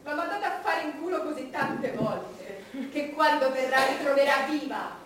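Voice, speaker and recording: A woman's voice: sits high, expressive, moderate pace. An actress playing an imperious, scornful, vulgar-tongued Queen of England in a theatrical stage monologue, with a commanding delivery. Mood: imperious fury. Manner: theatrical, commanding, swinging from hushed menace to loud outbursts. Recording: echoey, distant, noisy stage recording.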